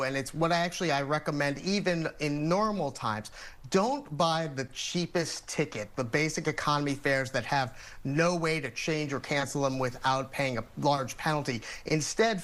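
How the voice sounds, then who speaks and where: An American man speaking as an expert guest in a TV news interview, explaining things in a measured, methodical way. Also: on the high side, a bit bright.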